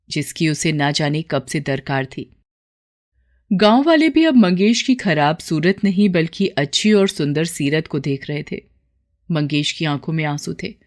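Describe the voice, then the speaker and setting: Lower-pitched woman narrating a story.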